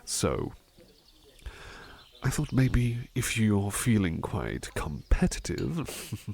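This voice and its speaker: Man acting as a gravelly, low-voiced, polite butler. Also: very animated, drawn-out words, full character voice. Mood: attentive, hesitant. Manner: hesitant, courteous, softly rambling. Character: polite, attentive male butler, gentle and eager to please. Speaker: ASMR roleplay performer. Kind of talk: ASMR personal-attention roleplay.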